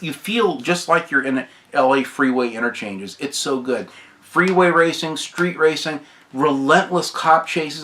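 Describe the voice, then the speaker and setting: Hoarse, bright-voiced man reviewing games. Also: on the high side. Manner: enthusiastic, rambling, repeats praise. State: hoarse from recent sickness, often clearing throat. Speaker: game collector and reviewer. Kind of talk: casual unscripted game collection review.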